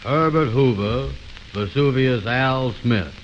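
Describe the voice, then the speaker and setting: A man's voice: mid-range voice, takes it slowly, muffled recording, slight background noise, natural voice. A man playing a blustering, muddle-headed know-it-all in a 1920s radio comedy, with pompous, folksy, ungrammatical talk on an old recording. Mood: self-assured.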